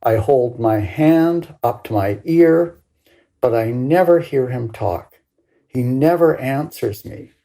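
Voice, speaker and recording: A woman's voice: extremely low pitch, expressive, takes it slowly, warm tone, exaggerated voice. An older woman voicing a grieving young grandson in a story, pleading insistently with his grandmother. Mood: anguished, insistent.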